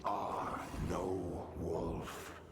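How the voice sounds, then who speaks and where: A man voicing a wise, commanding great wolf spirit in a video game, grave and formal in speech, with measured phrasing. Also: low voice, little pitch movement. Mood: stern. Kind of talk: video game character dialogue. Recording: loud music behind the voice.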